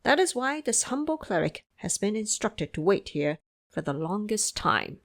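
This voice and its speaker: Woman playing humble monk, animated pitch. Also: normal speed. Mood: deferential, composed. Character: humble, composed male Buddhist monk.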